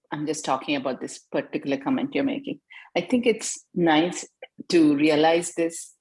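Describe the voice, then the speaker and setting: Woman in an online class, low voice, kinda rambly and earnest. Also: a little mellow, poor audio. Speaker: student in the group. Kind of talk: video call comment.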